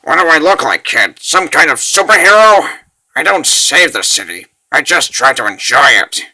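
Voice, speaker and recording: A man voicing a cocky, grumbling old wizard in a comic dub, gruff and sarcastic. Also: a little high, big pitch swings, normal speed, sharp tone, full character voice, low-quality recording. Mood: wry, bossy.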